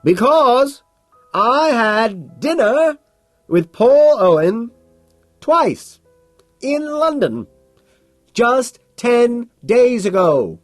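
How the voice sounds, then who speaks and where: A man's voice: mid-range voice, big pitch swings, normal speed, a little mellow, husky, no echo, faint music behind. A man voicing a pompous, dismissive male businessman who talks down to people. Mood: shouting. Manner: condescending, brushing off.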